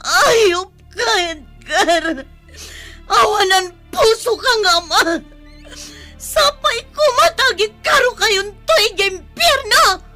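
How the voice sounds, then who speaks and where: A high-pitched, wailing, anguished mother. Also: lively intonation, takes it slowly, pushed and bright, soft background music, full character voice. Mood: wailing fury. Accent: Ilocano. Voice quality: tearful, breaking voice. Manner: pleading, wailing, melodramatic. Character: anguished young mother, fiercely protective of her baby. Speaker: voice actor in radio drama. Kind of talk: Ilocano radio drama acting.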